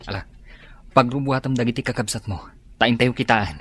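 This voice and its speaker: Husky, forgiving husband. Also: male voice, mid-range voice, fairly quick, a bit bright. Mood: calm, counseling. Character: calm, forgiving husband counseling his wife. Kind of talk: radio drama acting.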